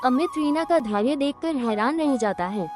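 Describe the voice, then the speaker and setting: A woman with a high voice narrating a children's moral story, telling it evenly and simply. A clear music bed plays steadily under her words. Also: lively intonation, moderate pace.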